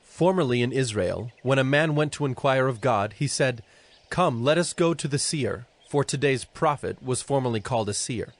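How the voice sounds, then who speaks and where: A man's voice: mid-range voice, animated, moderate pace. A man narrating a dramatized scripture reading from a script.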